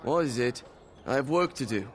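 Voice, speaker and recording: An actor playing a grumbling, disheartened apprentice in a fantasy video game, curt and resigned. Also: male voice, mid-range voice, animated, normal speed, close to an everyday voice.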